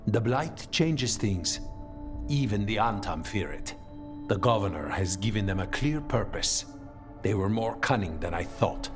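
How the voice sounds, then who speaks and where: An actor playing a stern, wronged underworld ally in a video game, grave and deliberate in delivery. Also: male voice, fairly low pitch, lively intonation. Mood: grave, indignant. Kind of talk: dramatic video game voice acting. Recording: music behind the voice.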